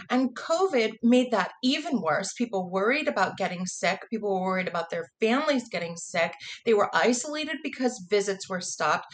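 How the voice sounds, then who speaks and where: Woman, American, somewhat high voice. Earnest advocate in an interview, leans on key phrases. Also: a little hiss or hum. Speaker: interview guest, prison phone justice advocate.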